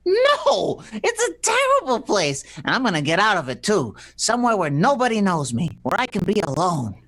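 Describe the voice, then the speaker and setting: A man's voice: high-pitched, huge pitch swings, normal speed, a bit bright, exaggerated voice. A man playing a flustered romantic on the run in an old-fashioned radio play, stammering and pleading. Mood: indignant, urgent.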